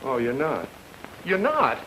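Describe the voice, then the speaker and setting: An actor playing an excitable, wisecracking newlywed private detective in 1940s radio noir style. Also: male voice, medium pitch, takes it slowly, slight background noise, low-quality recording. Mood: flustered pleading. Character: fast-talking newlywed private detective, flustered yet wisecracking. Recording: old radio drama, music underneath.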